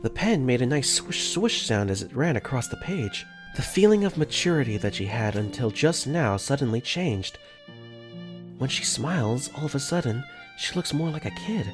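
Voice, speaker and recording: A man narrating his own story in first person, earnest and quick to get flustered. His pitch moves a lot as he tells it. Soft music plays behind him. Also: big pitch swings. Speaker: narrator and lead in fan dub.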